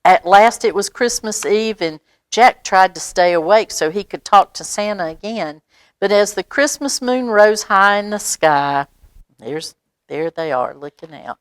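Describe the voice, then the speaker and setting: A woman's voice: mid-range voice, clear, resonant tone, no room sound. A woman reading a picture book aloud to children as a library storyteller, stopping for asides and questions. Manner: expressive, pauses for asides and questions to young listeners.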